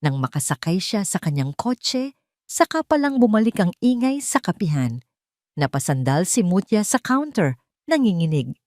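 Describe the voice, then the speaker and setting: A woman's voice: fairly low pitch, sing-song, moderate pace, a little mellow, dry recording, studio quality. A woman narrating a scripted dramatic story as an audio drama narrator.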